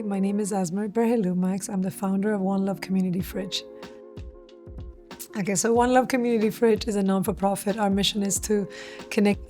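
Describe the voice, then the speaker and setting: A woman's voice: mid-range voice, warm tone. A middle-aged woman who grew up in Sweden, talking earnestly and reflectively in an interview, explaining her work. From Stockholm, Sweden; born in Eritrea. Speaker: founder of a community food nonprofit, interviewee.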